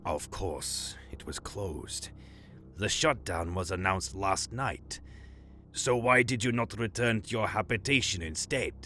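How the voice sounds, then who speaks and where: An actor playing a stern, condescending bureaucratic superior in an audio drama, speaking formally and with pompous precision. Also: male voice, lowish voice, animated, a little slow, pushed and bright, soft background music. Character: stern, condescending male broadcast official, cold bureaucratic superior.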